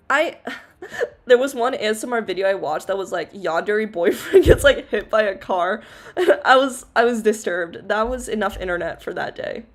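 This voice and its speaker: A young woman streaming a casual Q&A as a VTuber, rambling with lots of fillers and giggles, her voice low-ish and loud. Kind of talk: casual Q&A livestream chat.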